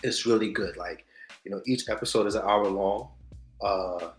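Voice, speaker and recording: Animated male host in an echoey room. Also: on the low side, moderate pace. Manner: animated, swings between loud hype and soft asides. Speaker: podcast co-host. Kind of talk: casual chat on news and culture. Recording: echoey room with faint music.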